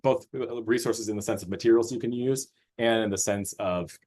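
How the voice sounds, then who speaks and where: A man from Austria walks teachers through a curriculum on a video call. His voice sits a little low, and he speaks in a friendly, unpolished way, often pausing on fillers and restarting his sentences. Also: dark tone. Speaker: curriculum presenter. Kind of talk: webinar presentation.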